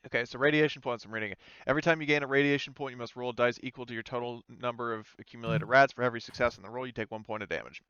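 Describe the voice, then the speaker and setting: A man's voice: mid-range voice, fairly even intonation, racing, few pauses, bright, forceful tone, clean tone, no echo, highs cut off. A man acting as game master in a casual tabletop roleplaying session, hesitant and thinking aloud as he checks rules.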